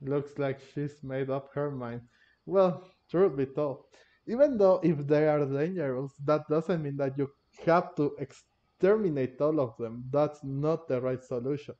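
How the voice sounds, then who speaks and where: A man giving casual gameplay commentary, halting and often rephrasing himself. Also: on the high side, mellow. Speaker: gamer commentator. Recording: dull, lo-fi microphone.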